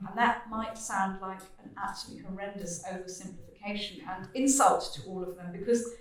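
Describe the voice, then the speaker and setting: A woman moderates a literary panel in a chatty, loose way, with many ums. Her voice is a middle pitch for a woman. She sits far from the mic in a big, echoing hall. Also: fairly quick. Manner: chatty, many fillers, laughs easily.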